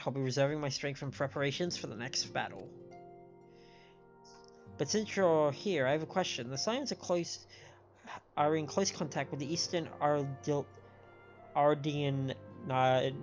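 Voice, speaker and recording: An androgynous-sounding streamer at a middle pitch reads game dialogue aloud and comments on it. The delivery trips over written lines now and then. The sound is slightly dull, with game music underneath. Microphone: microphone on a stand.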